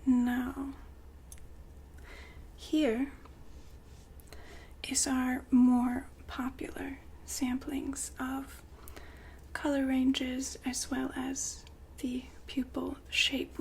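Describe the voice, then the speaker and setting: A woman's voice: high-pitched, little pitch movement, very slow, creaky, a little mellow, slight background noise, exaggerated voice. A woman playing a courteous, soothing saleswoman in a futuristic android shop, in a whispered ASMR roleplay with polite customer-service manners. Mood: calm, attentive.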